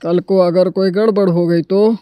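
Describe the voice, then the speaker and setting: Man playing a high-pitched, wary old father. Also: fairly even intonation, normal speed, dark tone, smooth, no room sound, close to an everyday voice. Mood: worried, wary. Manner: wary, grumbling. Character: cautious, worried elderly father of the family. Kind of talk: Hindi animated story dialogue.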